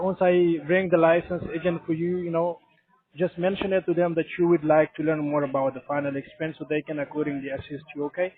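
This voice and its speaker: Polite call center agent on a phone line. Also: male voice, a little high, husky. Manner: polite, agreeable, repeats "exactly". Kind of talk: scripted sales call.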